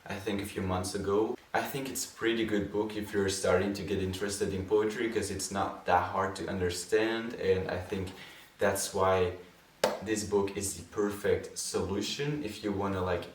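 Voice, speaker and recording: A young man with a Dutch accent, a non-native English speaker, hosting a poetry channel, talking gently and hesitantly and reading poems aloud. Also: sits low, steady tone, distant mic, slight background noise. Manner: gentle, unhurried, hesitant asides with many fillers. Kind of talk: soft spoken-word poetry reading. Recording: echoey room, set back from the mic.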